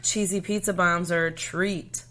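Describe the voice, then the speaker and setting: A woman voicing a calm, firm mother in a storybook, matter-of-fact and gently instructive. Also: lowish voice, measured pace.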